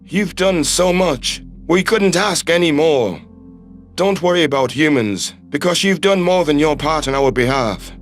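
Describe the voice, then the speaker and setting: A man's voice: on the high side, unhurried, soft background music, voice pushed down, natural voice. A man voicing a devoted, reassuring human astronaut in love in an audio story. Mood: tender, reassuring. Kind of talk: audio story character dialogue.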